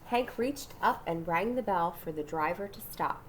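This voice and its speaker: A woman's voice: lowish voice, expressive, normal speed, slight background noise. A woman reading a children's storybook aloud dramatically, with asides and questions to her young listeners. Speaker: storybook reader.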